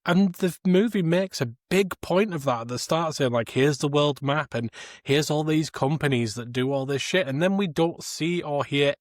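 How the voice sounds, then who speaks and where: A man's voice: on the high side, normal speed, dry recording, clean studio sound. A man co-hosting a podcast, talking about film casually in a critical, exasperated and informal way. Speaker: podcast co-host.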